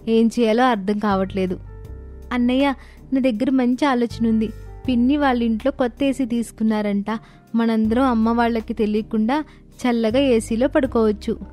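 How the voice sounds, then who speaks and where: A woman's voice: on the high side, fairly even intonation, moderate pace, warm tone, soft background music, natural voice. A woman voicing a plaintive young child in a cartoon moral story. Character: children, a boy and sisters, pleading in summer heat.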